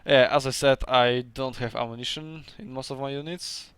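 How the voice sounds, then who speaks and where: A man's voice: medium pitch, fairly even intonation, moderate pace, a bit bright, smooth, no echo. A young man with a Polish accent casually commentating his own strategy game match, chatty and laughing often, with hesitant second-language phrasing.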